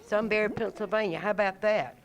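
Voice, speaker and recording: A woman's voice: medium pitch, dull audio. A woman from Georgia, hosting a birthday gathering and chatting and joking as she asks people their names. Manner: chatty, teasing, quick to joke. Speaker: event host at a senior birthday gathering. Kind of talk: casual emcee chat, asking names.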